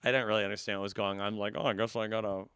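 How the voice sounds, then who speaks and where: A man's voice: fairly low pitch, fairly even intonation, very fast, fairly bright tone, clear, resonant tone, no echo, clean studio sound. An American man in his thirties telling comic stories in casual, irreverent podcast banter, swearing freely. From suburban United States. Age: 30s. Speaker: podcast guest, comedian.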